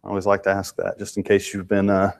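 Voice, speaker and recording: A lower-pitched man leads a Bible study, stuffed-up and a little thick in the voice. He talks informally and often restarts a thought mid-sentence. The setting is a church classroom. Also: completely flat delivery, fast talker, creaky, a little mellow. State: congested. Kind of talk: conversational Bible lesson.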